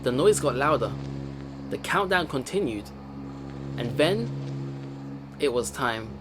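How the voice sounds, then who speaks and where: A man reading a visual novel aloud as a let's play host, with expressive dramatic narration and his own commentary. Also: mid-range voice, slow, background noise. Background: game music.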